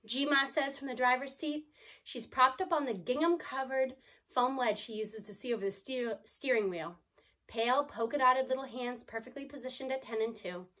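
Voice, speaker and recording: A woman reading a storybook aloud in an animated, emphatic way, with explanatory asides. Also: on the high side.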